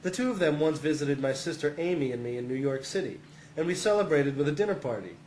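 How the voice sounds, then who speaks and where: Man, fairly high voice, comic essay narration, amused delivery, roomy echo, faint noise. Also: animated, fast, a little mellow. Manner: amused, often chuckling as he reads. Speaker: reader of a humorous essay. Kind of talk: scripted first-person comic narration.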